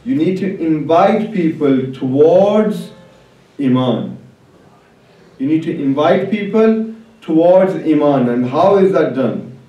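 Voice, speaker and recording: A man preaching a religious sermon to a congregation in an emphatic, deliberate way, repeating key phrases. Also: fairly high pitch, lively intonation, mellow, muffled recording. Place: large echoing religious hall. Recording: very echoey hall, distant mic.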